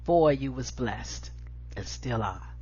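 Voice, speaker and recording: An American woman with a husky voice, hosting and reading spoken-word poetry with an emphatic, deliberate delivery. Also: extremely low pitch, animated, darkish tone. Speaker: show host and poetry reader. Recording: dull lo-fi audio.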